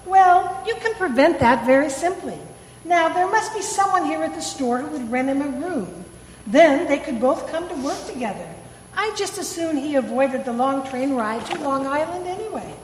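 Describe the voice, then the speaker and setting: A woman's voice: sits high, animated, moderate pace. A woman playing a firm, reassuring physician in an old-time radio play, sensible and persuasive. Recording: echoey hall with faint noise.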